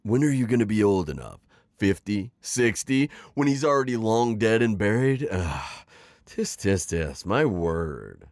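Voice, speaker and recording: Man acting a sly, swooping, low-voiced retired villain. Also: very animated, unhurried, a little mellow, no room sound. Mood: mocking, exasperated. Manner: theatrical, teasing, manipulative coaxing with sighs and chuckles. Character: retired magical villain, middle-aged man, sly and teasing. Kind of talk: scripted ASMR roleplay acting.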